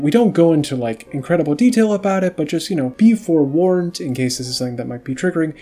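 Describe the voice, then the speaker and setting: Chatty podcast host man, mellow tone. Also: fairly high pitch. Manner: chatty, many fillers like "you know" and "like". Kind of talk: casual podcast interview.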